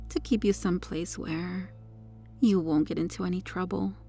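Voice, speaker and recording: A woman's voice: medium pitch, warm tone. A woman playing a sweetly menacing, possessive superheroine kidnapper in an intimate ASMR roleplay, coy, smug and coaxing as she lingers over her words. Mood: tender, possessive.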